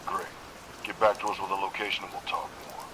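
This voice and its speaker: A man plays a businesslike associate in an audio drama, heard at a distance over clear rain-like noise. He talks low and quickly. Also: steady tone, close to an everyday voice.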